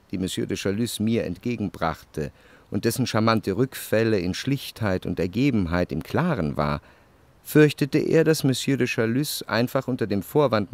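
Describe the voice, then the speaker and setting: An actor playing a reflective first-person literary narrator in a radio play, measured and introspective. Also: male voice, lowish voice. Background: music.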